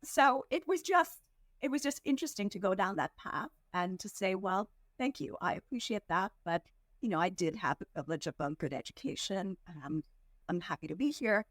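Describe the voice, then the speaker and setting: A woman from India speaks as a podcast guest in an earnest, measured way, slowing on the words she wants to land. Her voice sits at a middle pitch. The recording is dry and close, with no room sound. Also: lively intonation, no room sound. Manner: measured, earnest, slows down to stress points. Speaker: podcast guest, fundraising executive. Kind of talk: reflective interview answers.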